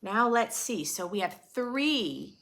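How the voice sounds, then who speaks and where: Animated, unhurried woman reading to young children. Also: a little high. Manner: animated, dramatic, questioning, with simple explanations for preschoolers. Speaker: children's read-aloud host and teacher. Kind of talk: expressive picture-book read-aloud with teaching asides for young children.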